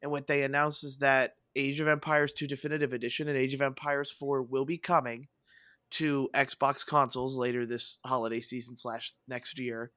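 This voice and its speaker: A man hosting a casual gaming podcast, his voice soft and hoarse from having lost it, rambling enthusiastically with fillers. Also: medium pitch, flat delivery, normal speed, dry recording, studio quality. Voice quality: soft, breathy. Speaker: podcast host.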